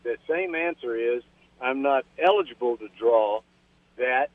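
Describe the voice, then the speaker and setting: A man's voice: medium pitch, expressive, unhurried, no room sound. A man in his seventies calling in to a radio show, polite and earnest, addressing the host as ma'am. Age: 70s. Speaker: caller. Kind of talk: call-in radio question. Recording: phone-line call.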